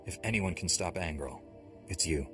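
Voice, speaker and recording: A grim Jedi Knight in a video game, very low in pitch and steely. He is blunt and terse, a ruthless hero, with music clearly behind him. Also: lively intonation, normal speed. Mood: grim, determined. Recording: music under the voice.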